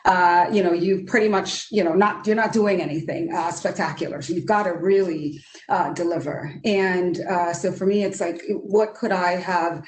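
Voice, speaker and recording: A woman's voice: fairly low pitch, fairly even intonation, normal speed. A Trinidadian woman in her forties, an economist speaking earnestly on a video roundtable, with many fillers and restarts. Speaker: economist panelist. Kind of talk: roundtable discussion. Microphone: video call. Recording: roomy video call audio.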